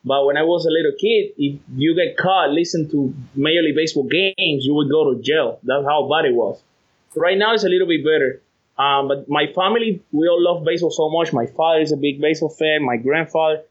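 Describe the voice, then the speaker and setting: A man from Cuba speaks English with a Cuban accent, cheerful and quick to laugh as he tells his story. His voice sits a little high. The sound is dull and lo-fi, like a remote line. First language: Spanish. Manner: cheerful, laughs easily, rambling. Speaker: podcast guest. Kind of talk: casual phone interview.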